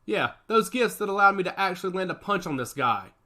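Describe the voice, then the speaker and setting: A man's voice: high voice, lively intonation, fairly quick, bright, forceful tone, pitched above the performer's own voice, heavily acted. A man voicing a brash, cocky augmented fighter who talks with swagger and defiance. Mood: confident. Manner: confident, defiant.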